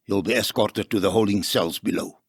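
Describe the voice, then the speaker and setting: A stern male magistrate in a radio drama. His husky voice is formal and pompous, heard in a dry, close recording. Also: lowish voice, a little fast, no echo. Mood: stern, contemptuous. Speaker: actor in audio drama trailer. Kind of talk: dramatic audio drama acting.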